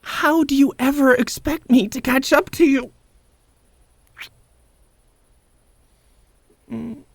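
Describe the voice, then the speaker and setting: A woman playing a prickly, vulnerable, secretly tender young wolfgirl in an intimate ASMR roleplay, speaking haltingly and often dropping into whispers. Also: sits high, vocal fry, no room sound, clean studio sound. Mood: anguished, pleading. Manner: halting, guarded, softly emotional.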